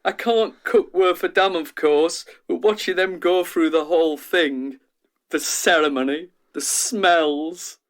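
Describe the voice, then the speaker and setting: A man's voice: fairly high pitch, slow. An actor playing an eager, down-to-earth man in a radio drama, chatty and relishing each phrase. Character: eager, down-to-earth man savouring every taste. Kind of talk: audio drama acting.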